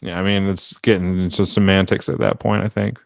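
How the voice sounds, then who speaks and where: A man's voice: deep voice, steady tone, fairly quick, vocal fry, dry recording, studio quality. A man co-hosting a comedy podcast, easygoing and rambling, trailing off into fillers. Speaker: podcast co-host. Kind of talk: casual podcast banter.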